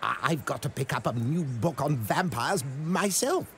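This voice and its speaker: Stammering, high-pitched nervous wizard. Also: male voice, lively intonation, moderate pace, creaky, fairly bright tone. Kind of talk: game cutscene acting.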